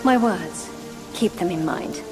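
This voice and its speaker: A cautioning young spirit daughter with a slightly low voice. She warns in a careful hush, game-drama style, under loud music. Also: expressive, slow. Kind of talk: dramatic fantasy game acting.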